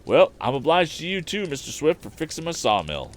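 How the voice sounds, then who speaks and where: Storybook part: country sawmill hand, done by a man, emphatic and folksy, pitched well up from low. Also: wildly swooping pitch, brisk. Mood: animated. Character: obliging rustic older handyman with a sawmill.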